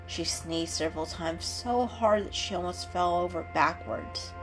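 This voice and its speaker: A woman giving an expressive, dramatic reading of a children's animal story as a storyteller. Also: on the low side, measured pace. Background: background music.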